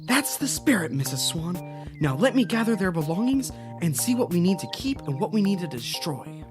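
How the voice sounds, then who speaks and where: A woman's voice: fairly low pitch, sing-song, normal speed, over music. A woman playing a cheerful, bossy children's-show host in an audio drama, giving instructions in an upbeat, reassuring way. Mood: brisk, upbeat. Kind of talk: audio drama, parody of educational kids' show.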